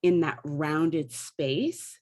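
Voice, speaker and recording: Woman on a theatre panel chatting, really unhurried pace, slightly low voice. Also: darkish tone. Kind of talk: casual panel conversation.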